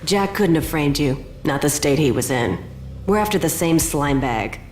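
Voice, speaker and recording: An actress playing a tough professional killer in a noir video game, cool, clipped and sardonic. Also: female voice, low voice, lively intonation, normal speed, darkish tone. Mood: hard, confident. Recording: roomy echo, music behind.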